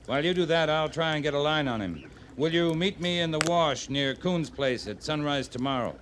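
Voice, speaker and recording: An actor playing a steady, earnest US cavalry officer in a radio western, talking in a plainspoken, deliberate way. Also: male voice, a little high, lightly acted. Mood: serious, resolute. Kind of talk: 1950s radio western acting. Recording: slightly noisy vintage radio recording.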